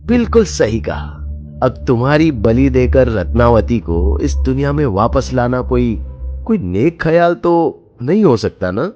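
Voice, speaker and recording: A man's voice: mid-range voice, very animated, unhurried, muffled recording. A man voicing a scheming young boyfriend in a horror cartoon story, coaxing and theatrical. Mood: sinister, mocking. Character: scheming young boyfriend, smooth-talking and sinister. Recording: loud music behind the voice. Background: music.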